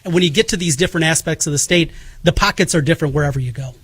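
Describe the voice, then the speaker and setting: A middle-aged man with a notably high voice and a Midwestern American accent. He hosts sports radio, explaining things in an emphatic, casual style. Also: rapid-fire, fairly bright tone, slightly rough. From Iowa, United States.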